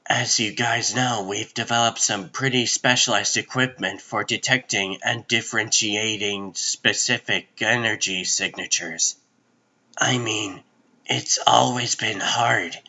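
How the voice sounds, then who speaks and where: A man voicing an earnest scientist in a comic dub, explaining things in a measured way. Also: lowish voice, bright, forceful tone, exaggerated voice, rough recording. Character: earnest male scientist explaining data to his team. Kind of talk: fan comic dub voice acting.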